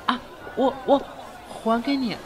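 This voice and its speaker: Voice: medium pitch, measured pace, crowd noise, close to an everyday voice. A performer playing a timid, stammering hungry little boy pickpocket in a Chinese period audio drama. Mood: frightened, timid. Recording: noisy crowded street sound, music.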